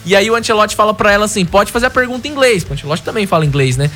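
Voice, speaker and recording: Brazilian guy hosting a sports show, high voice, talks fast, chatty. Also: sharp tone, faint music behind. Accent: Brazilian Portuguese. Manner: chatty, announces schedule. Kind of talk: casual sports chat.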